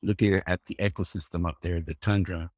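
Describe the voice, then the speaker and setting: A man's voice: sits low, steady tone, brisk, a little mellow, dry recording, studio quality. A man from Rica, a guest scientist talking casually in an interview, hesitant with many fillers and restarts. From Costa Rica. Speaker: guest plant ecologist.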